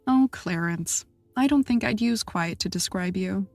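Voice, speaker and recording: An actress playing a casual, teasing podcast producer in a naturalistic audio drama. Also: female voice, mid-range voice, a little slow, gravelly, no echo.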